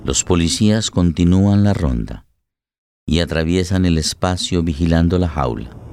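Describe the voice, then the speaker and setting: A man's voice: low-pitched, expressive, normal speed, a little mellow, slight background noise. A man narrating a radio play in a dramatic, scripted style. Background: music.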